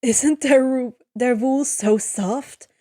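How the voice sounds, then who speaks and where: Woman doing a cheeky teen boy from a fanfic, chatty and joking, kinda high and slow. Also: mellow, no echo, clean studio sound. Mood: eager. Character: cheeky, upbeat teenage boy demigod.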